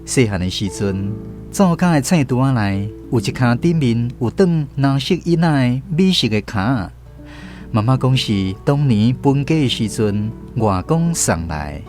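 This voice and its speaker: Male narrator, Taiwanese accent, medium pitch, lively intonation, storybook radio drama. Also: a little slow, soft background music.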